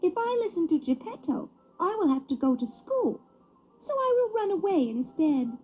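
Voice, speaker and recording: A woman voicing a cheeky, naughty talking puppet boy with a high childlike voice for a children's storybook. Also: big pitch swings, normal speed, mellow, rough recording. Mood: defiant. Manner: impudent, exclaiming. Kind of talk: children's audio storybook character voice.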